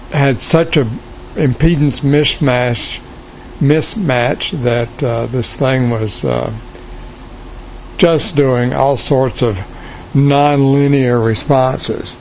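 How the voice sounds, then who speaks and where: Guy slowly talking thru his restoration project, halting, thinking out loud, kinda muffled camera sound. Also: mid-range voice. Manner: hesitant, halting, thinking aloud. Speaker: hobbyist restorer of vintage radio gear. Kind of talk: casual, unscripted show-and-tell. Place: cluttered indoor electronics workshop. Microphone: handheld camera mic, behind the lens. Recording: dull lo-fi camera audio, faint noise.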